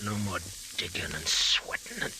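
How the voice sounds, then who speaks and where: An actor in an old radio drama playing a wounded, stubborn, gold-obsessed prospector with rough, slangy, insistent talk. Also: male voice, low-pitched, big pitch swings, normal speed, sharp tone, exaggerated voice, rough recording. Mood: feverish, insistent. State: weak from wounds. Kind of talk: 1940s-50s radio drama acting. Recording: hissy old radio recording.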